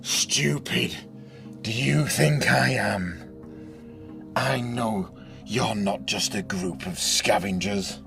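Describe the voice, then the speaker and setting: Guy playing old survivor boss villain, super slow n deliberate, sarcastic and threatening, gravelly, music under it. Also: lowish voice, a bit bright, heavily acted. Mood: contemptuous menace. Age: older. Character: menacing, mocking older male survivor leader, whiskey-drinking villain. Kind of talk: audio drama acting.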